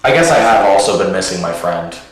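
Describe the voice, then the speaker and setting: A man playing a mild, awkwardly polite captive in a comedy sketch, with halting, deadpan delivery. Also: deep voice. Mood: awkward, earnest. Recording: very echoey room, distant mic.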